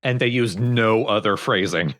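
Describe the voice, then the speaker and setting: A man's voice: lowish voice, moderate pace, fairly bright tone. A man giving casual, explanatory let's play commentary on a video game. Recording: game music behind commentary.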